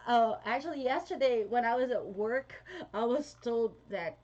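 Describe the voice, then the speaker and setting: A woman's voice: a little high, moderate pace, a little mellow, highs cut off. A young woman with a Filipino accent, chatting casually to her viewers, with frequent laughs and fillers. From Philippines. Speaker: vlogger. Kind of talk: casual talk to viewers, reading comments.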